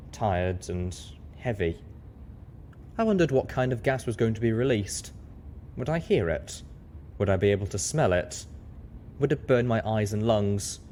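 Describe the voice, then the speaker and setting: A man's voice: fairly low pitch, darkish tone, a little hiss or hum. A man reading scripted first-person fiction as the narrator of an audio story.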